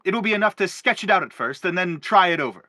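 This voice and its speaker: A man's voice: sits high, expressive, fast talker, sharp tone, no room sound, clean studio sound. A man playing an earnest, persuasive, self-dramatizing father in an audio stage play. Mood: eager, persuasive. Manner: earnest, insistent, theatrical.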